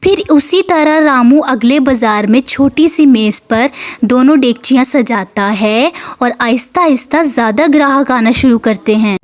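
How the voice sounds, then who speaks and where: A woman's voice: high voice, normal speed. A woman reading a scripted moral story as its narrator.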